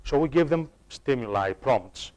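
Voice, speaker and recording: A man of ordinary pitch giving a workshop talk on designing online courses. He speaks in a halting way, restarting phrases and doubling words, and he turns to his listeners with quick questions. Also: animated, a little mellow. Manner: halting, restarts and repeats words while explaining; addresses the audience directly with questions. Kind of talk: live workshop talk to staff.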